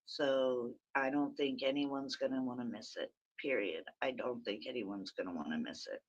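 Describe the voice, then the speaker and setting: A woman with a very raspy voice, hosting a rambling, chatty early-morning livestream from her phone and talking to viewers by name. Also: extremely low pitch, moderate pace, dull audio. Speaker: livestream host.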